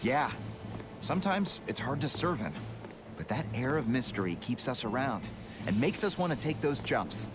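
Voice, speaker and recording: An actor playing a loyal, cheeky pirate crewman in a video game, chatty and expressive in an informal, colorful way. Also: medium pitch, moderate pace, natural voice. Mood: cheeky, musing. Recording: game music behind the voice.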